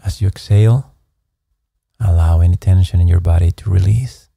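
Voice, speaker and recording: A man narrating a guided meditation, giving calm, measured instructions in an unhurried way. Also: low-pitched, gravelly, no room sound, clean studio sound. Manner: slow, calm, measured instruction with soft pacing.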